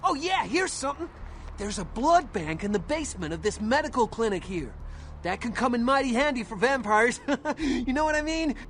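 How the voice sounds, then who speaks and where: An actor voicing an excitable, slangy young vampire's sidekick in a video game, eager to please and loud. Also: male voice, very high-pitched, moderate pace, a little hiss or hum, exaggerated voice. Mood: excited, eager.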